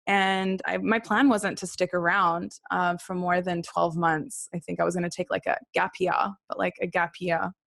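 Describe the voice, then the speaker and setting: A woman's voice: lowish voice, flat delivery, moderate pace, creaky, hardly any pauses, a bit bright, no echo. A woman from Australia, raised partly in Israel, telling personal stories casually and candidly as an interview guest. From born in Australia, raised in Israel and Brisbane. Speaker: podcast guest, singer-songwriter.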